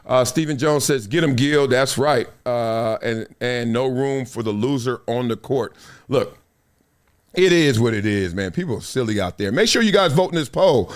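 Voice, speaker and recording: A middle-aged man hosting a casual livestream, reading viewer comments and news aloud in a playful, animated way. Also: mid-range voice, moderate pace. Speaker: show host. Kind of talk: casual live-stream commentary.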